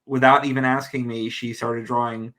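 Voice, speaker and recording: A man's voice: medium pitch, flat delivery, normal speed, pushed and bright. A man in his thirties from New Zealand, with an accent blending New Zealand and American, chatting as a guest with lots of self-deprecating restarts. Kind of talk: casual chat.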